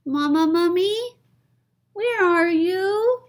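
A woman voicing a small boy mummy in a storybook, eager and stubbornly brave, calling out in drawn-out pleas. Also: very high-pitched, pitched above the performer's own voice. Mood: searching, plaintive. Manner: drawn-out, loud calling and pleading.

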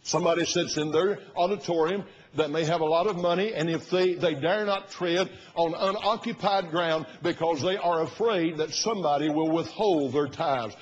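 An older man in his sixties with a husky voice, preaching an emphatic, oratorical sermon as a pastor. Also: normal speed, hardly any pauses. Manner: emphatic, oratorical, rising to loud bursts and dropping low. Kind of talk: fiery sermon. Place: large church sanctuary, at the pulpit platform. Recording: muffled recording in a reverberant church hall.

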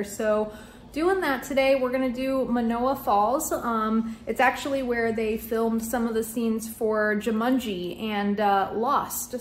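Young woman, higher-pitched voice, casual vlog chat, informal and excited, some background noise. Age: young adult. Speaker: vlogger. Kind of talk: casual chatty vlog talk to camera.

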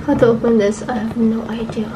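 A woman's voice: on the high side, little pitch movement, fairly quick, background noise. A sick young woman with a Malaysian English accent, vlogging to camera from her bedroom while coughing and losing her voice, mixing Malay words into her English. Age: young adult. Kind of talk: casual vlog chat to camera. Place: bedroom at home, indoors. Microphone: camera mic, camera held close or on a tripod.

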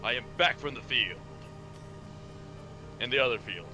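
A man's voice: medium pitch, animated, moderate pace, sharp tone, soft background music. A man voicing a loyal knight commander from a fantasy video game, speaking in formal declarations. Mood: proud, dutiful. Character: loyal knight commander reporting to his king.

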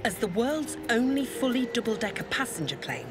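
A woman's voice: a little high, big pitch swings, normal speed. A woman reading scripted documentary narration. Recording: music bed under voice. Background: background music.

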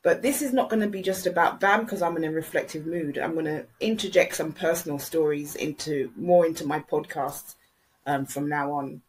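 A woman's voice: sits low, normal speed, rough recording. A woman podcast host, talking bluntly and candidly, telling a personal story and repeating phrases for emphasis. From Jamaica. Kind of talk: reflective commentary and personal storytelling.

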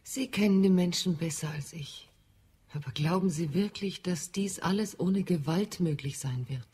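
Eine Schauspielerin spielt in einem deutschen Hörspiel eine nachdenkliche, zärtliche Marsianerin mit sanfter, bedächtiger Sprechweise. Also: female voice, fairly low pitch, moderate pace, a little mellow, breathy edge. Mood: worried. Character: thoughtful, tender Martian woman. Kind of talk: German radio play acting.

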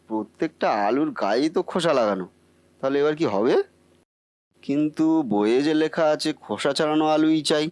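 A man's voice: a little high, darkish tone, no echo, natural voice. A man voicing a bumbling, boastful cartoon husband who cannot cook, muttering theatrically to himself. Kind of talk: comic Bengali cartoon acting.

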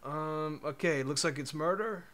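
A man's voice: fairly high pitch, a little slow, a bit bright. A young man in his twenties, casually chatting with his chat on a livestream through a headset, laid-back and joking. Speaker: streamer.

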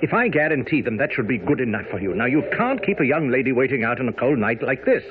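An actor playing a nervous, well-bred son in a radio drama. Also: male voice, medium pitch, big pitch swings, fast, lightly acted. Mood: indignant. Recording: muffled, noisy old radio broadcast.

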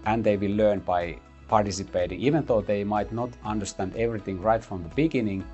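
A middle-aged man explaining a chip design program in an office, pausing often with fillers. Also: fairly low pitch, steady tone, warm tone, lo-fi sound, soft background music. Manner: measured, many "uh" pauses. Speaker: university computing head. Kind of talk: interview explanation. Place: office lab with whiteboard, indoors.

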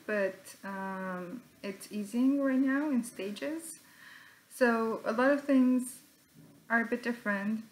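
A woman's voice: medium pitch, low-quality recording. A young woman from Romania, introducing herself informally and a little hesitantly. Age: young adult. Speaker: writer. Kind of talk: informal spoken introduction to camera. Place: indoors in a room at home.

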